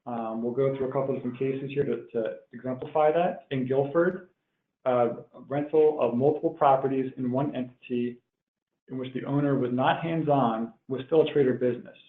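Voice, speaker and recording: A man's voice: mid-range voice, steady tone, brisk. Man on a tinny, echoey line. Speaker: webinar presenter, tax professional. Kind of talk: explanatory tax webinar lecture. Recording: tinny phone-like line, roomy echo.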